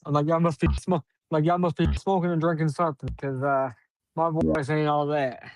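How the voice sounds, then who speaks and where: A woman's voice: deep voice, little pitch movement, a little fast, a little mellow, no room sound. A woman co-hosting a casual talk show, telling stories and acting out her own reactions. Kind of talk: casual chat.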